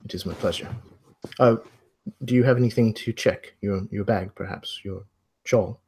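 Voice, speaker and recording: A man's voice: lowish voice, a little mellow, breathy edge. Man playing a courteous, formal manor butler. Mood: courteous, attentive. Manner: courteous, deferential, short halting phrases.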